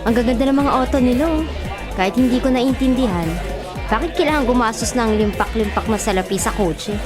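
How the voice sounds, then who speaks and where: A woman playing a young, caring and teasing friend in a radio drama, chatty and expressive. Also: on the high side, brisk, close to an everyday voice. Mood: teasing, concerned. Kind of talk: Tagalog radio drama acting. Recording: music and crowd behind. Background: music, crowd chatter.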